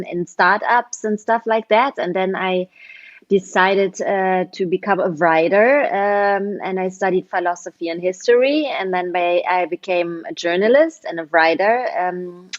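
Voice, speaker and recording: Slow, thoughtful forty-year-old woman. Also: lowish voice, no room sound, dull audio. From Berlin, Germany. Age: 40s. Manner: thoughtful, with frequent hesitations. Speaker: guest, writer. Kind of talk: casual interview answers.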